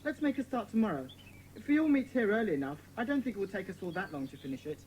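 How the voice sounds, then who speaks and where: Woman playing a brisk, fast-talking schoolboy leader. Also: mid-range voice, big pitch swings, darkish tone, a little hiss or hum, lightly acted. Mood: eager. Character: brisk, confident schoolboy leader of a children's club. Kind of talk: dramatised children's audiobook acting.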